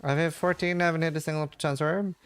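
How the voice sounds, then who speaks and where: A man's voice: fairly high pitch, fairly even intonation, fast, fairly bright tone, clear, resonant tone. A man from Norway, casually chatting and thinking aloud while he streams a game and answers chat questions.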